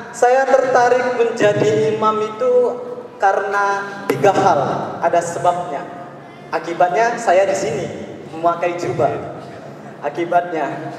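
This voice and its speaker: A man's voice: high voice, a little mellow. A young man from Medan, a seminarian with a Medan accent, giving a playful, joking testimony at Mass. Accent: Medan Indonesian. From Medan, North Sumatra. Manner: playful, punning, teasing the congregation. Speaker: seminarian friar. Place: large church. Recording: echoey church from far off.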